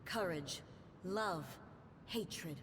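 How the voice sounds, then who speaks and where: A woman playing a stoic, resolute female warrior deity in a video game, speaking in a formal, measured, grave manner. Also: on the low side, fairly bright tone, slight background noise. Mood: solemn. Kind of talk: video game character acting. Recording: music playing behind the voice.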